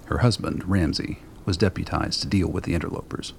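A man narrating a scripted radio drama in a measured, dry, literary storytelling manner. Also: low-pitched, creaky, darkish tone, a little hiss or hum.

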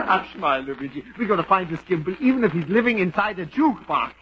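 A blustery know-it-all. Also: male voice, high voice, lively intonation, moderate pace. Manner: blustering, jokey, mangles idioms. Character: blustery German immigrant man, bossy know-it-all. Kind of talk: 1940s radio acting. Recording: dull old radio broadcast with clear hiss.

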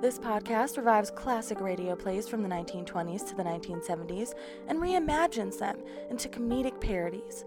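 A woman's voice: mid-range voice, expressive, a little fast. A woman hosting a podcast, reading a scripted introduction to the show. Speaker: podcast host. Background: music bed under the voice.

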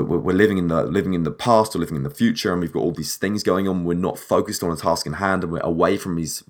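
A young man with a husky, deep voice, hosting a solo podcast and rambling with restarts, a bit dehydrated. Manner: rambling, repeats and restarts words. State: a bit dehydrated and short on sleep. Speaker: podcast host.

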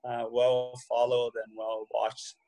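A man's voice: medium pitch, slow, rough recording. Brief: young Brazilian man, Portuguese-accented English, easygoing interview guest, laughs easily. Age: young adult. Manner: relaxed, many "you know" fillers, laughs easily. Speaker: guest, professional footballer. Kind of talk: casual interview chat.

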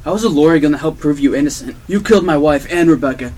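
A man's voice: fairly high pitch, quick pace, a little mellow, close to an everyday voice. A man playing a hot-tempered, vengeful, confrontational police detective in an amateur old-time radio drama, on a noisy recording. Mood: accusing. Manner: confrontational, accusing.

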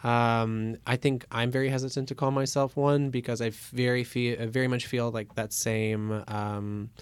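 Thoughtful man with a smooth voice. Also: medium pitch, little pitch movement, normal speed, no room sound. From Russia. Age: 30s. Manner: thoughtful, hesitant, many fillers. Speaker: guest. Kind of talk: casual interview talk.

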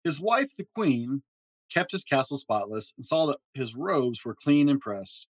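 A man reading a children's fairy tale aloud in a gentle storytelling manner. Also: animated, measured pace, no echo. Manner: gentle storytelling with varied pitch for emphasis. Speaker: storybook reader.

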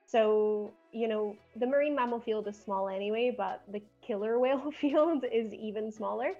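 A young adult woman from Scotland with a softened Glaswegian accent, chatting earnestly as a podcast guest. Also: fairly high pitch, lo-fi sound, soft background music. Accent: softened Glaswegian Scottish. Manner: chatty and earnest, quick laughs. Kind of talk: casual chat.

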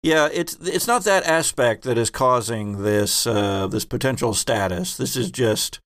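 A man narrates a tabletop game as its game master, taking his time over every description. His voice has a gravelly edge. The sound is dry, with no room around it. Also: medium pitch, a bit bright, no echo. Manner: slow, descriptive narration with rules explanations.

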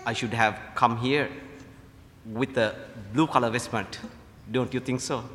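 Sri Lankan priest preaching in a reverberant hall. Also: male voice, medium pitch, steady tone, a little slow, fairly bright tone, a little hiss or hum. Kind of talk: sermon. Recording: echoey hall with long reverb.

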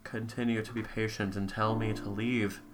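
A woman's voice: extremely low pitch, little pitch movement, normal speed, over music. A woman reading the lines of a guarded, weary young girlfriend from a fan story.

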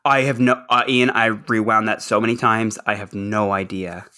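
A man's voice: fairly low pitch, little pitch movement, normal speed, sharp tone. A man co-hosting a podcast in casual chat, informal and given to acting out lines in asides. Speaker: podcast co-host. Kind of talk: casual chat recapping a show.

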